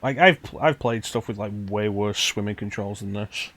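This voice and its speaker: A man's voice: lowish voice, moderate pace, fairly bright tone. A man giving casual commentary while streaming a video game. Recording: game music playing behind. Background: video game music.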